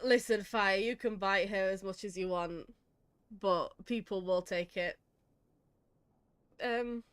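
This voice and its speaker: A woman's voice: mid-range voice, steady tone, slow pace, clear, resonant tone, no echo. A woman doing casual reaction commentary over a video game, with dry, sarcastic, giggly humour. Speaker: gaming video host, psychologist.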